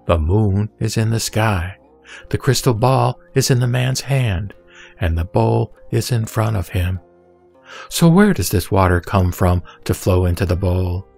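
A man with a fairly low voice and a strong gravelly creak reads a sacred text aloud. His phrasing is measured and didactic, as in a formal recitation. Music plays behind him. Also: darkish tone. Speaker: sutra reciter. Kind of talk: scripted scripture recitation. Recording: background music under the voice.

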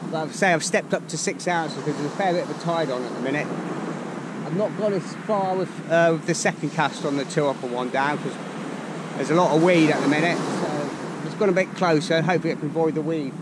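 A man's voice: fairly high pitch, a little fast, very noisy. An older man with a Norfolk accent, chatting casually to camera on a beach as he explains his fishing tackle step by step. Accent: Norfolk English. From England. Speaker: fishing channel host. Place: open beach at the sea, outdoors. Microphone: action camera close by. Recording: outdoor camera audio over loud surf. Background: loud surf and waves.

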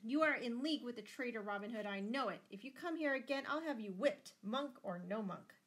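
A woman's voice: medium pitch, expressive, moderate pace, pushed and bright. A woman voicing a furious, tyrannical noble father in a storybook, bellowing and thundering with indignation. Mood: enraged. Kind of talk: dramatised storybook reading.

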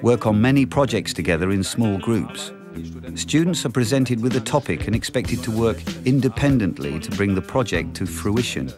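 Lively, low-voiced middle-aged professor. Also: male voice, moderate pace. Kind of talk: interview about teaching and labs. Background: background music.

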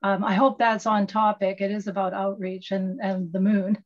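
An older woman asks a question in an online meeting, keeping her pitch quite flat. The video-call sound is dull and lo-fi. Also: normal speed. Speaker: audience member asking a question. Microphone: video call, head and shoulders close.

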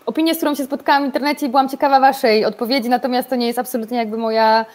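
A woman's voice: high-pitched, steady tone, rapid-fire. A woman, discussing sport casually on a video call as a presenter. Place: indoor room at home, video call. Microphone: video call microphone.

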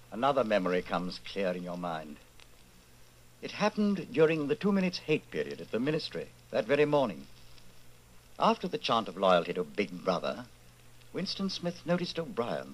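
Male narrator, fairly low pitch, lively intonation, ominous, deliberate, old-time radio drama. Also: poor audio.

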